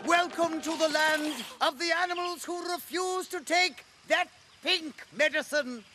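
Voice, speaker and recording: A piercingly high, forceful cartoon animal, rebellious and zealous. The slow, shouted delivery rallies a mob. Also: male voice, faint music behind, heavily acted. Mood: defiant.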